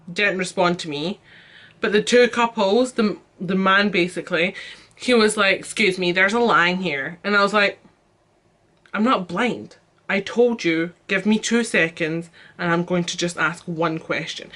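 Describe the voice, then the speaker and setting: A woman's voice: mid-range voice, sharp tone. A young Scottish woman telling a storytime rant as a vlogger, chatty and exasperated, with lots of fillers.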